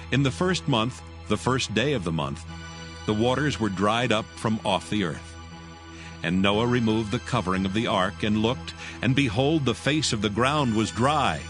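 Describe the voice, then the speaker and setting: A man narrating scripture from a script in a measured, solemn way. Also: fairly low pitch, expressive. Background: music.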